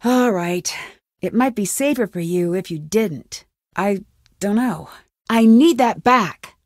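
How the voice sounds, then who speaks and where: A woman's voice: mid-range voice, lively intonation, takes it slowly, darkish tone, no room sound, studio quality. A woman playing a young American detective in a video game, inquisitive, determined and guarded, speaking in a direct, conversational way. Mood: suspicious, firm. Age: young adult. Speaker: video game lead character. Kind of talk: scripted game dialogue.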